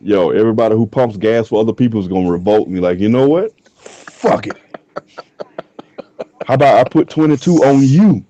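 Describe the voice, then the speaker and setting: A man in his thirties from the US South trades rough, laughing stories on a podcast. His voice sits low and mellow. The recording is dry and a little dull. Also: lively intonation, normal speed, long pauses, no room sound. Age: 30s. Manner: loose, profane storytelling with frequent laughs. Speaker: podcast co-host. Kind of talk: casual chat.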